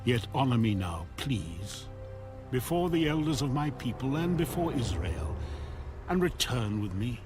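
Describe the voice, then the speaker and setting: A remorseful biblical king, pleading in an audio drama. The male voice is slow and swings widely in pitch, with music clearly behind it. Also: big pitch swings. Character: Saul, remorseful, pleading king of ancient Israel.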